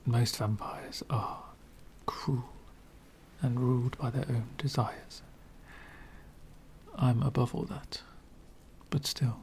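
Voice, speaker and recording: Slow, half-whispering vampire gentleman, acted by a man. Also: medium pitch, a little hiss or hum. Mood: wistful, refined. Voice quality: soft, breathy whispering. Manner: refined, wistful, slow and deliberate. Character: elegant centuries-old male vampire gentleman, melancholy, courteous. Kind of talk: ASMR roleplay, soft half-whispered monologue.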